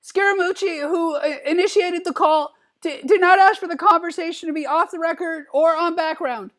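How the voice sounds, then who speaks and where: A man's voice: very high voice, fairly even intonation, normal speed, a bit bright. A man voicing a nervous, timid adolescent cartoon boy, stuttering through narration in a comedic dramatic reading. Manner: anxious stuttering, repeated word starts.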